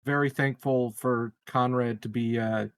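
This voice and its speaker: A man's voice: medium pitch, steady tone, measured pace, dry recording. A man playing a tabletop roleplaying game, making wry, sarcastic quips. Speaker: player. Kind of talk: casual tabletop game chat.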